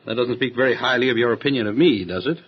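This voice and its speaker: A man's voice: medium pitch, quick pace, husky, lo-fi sound, close to an everyday voice. An actor playing an imperious, easily flustered Egyptian king in an audio Bible drama, theatrical and sputtering. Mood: hurt, reproachful.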